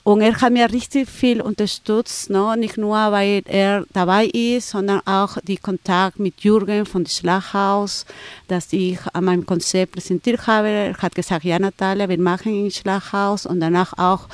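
Woman speaking halting German. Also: medium pitch, little pitch movement, clean tone, no room sound. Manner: halting non-native German with many fillers and restarts. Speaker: photographer and event organizer. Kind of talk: interview answers.

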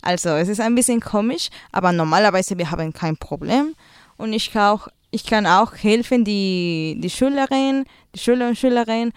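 A woman's voice: medium pitch, normal speed, clean tone, dry recording, clean studio sound. A young woman with a Peruvian Spanish accent, a native Spanish speaker, answering in a casual interview while searching for her German words. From Peru. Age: young adult. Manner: hesitant, searching for German words with many fillers. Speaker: guest, school volunteer.

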